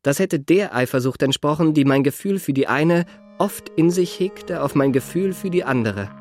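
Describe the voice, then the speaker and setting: An actor playing a vain, smooth-tongued young impostor who narrates his adventures in a radio play with ornate, polished, self-satisfied phrasing. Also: fairly high pitch, expressive, moderate pace, a little mellow, faint music behind, lightly acted. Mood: self-satisfied, wry.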